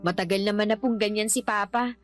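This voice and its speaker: An actress with a Filipino accent playing a resentful, outspoken grown daughter in a radio drama, exasperated and complaining. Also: female voice, mid-range voice, steady tone, a little fast, faint music behind, natural voice.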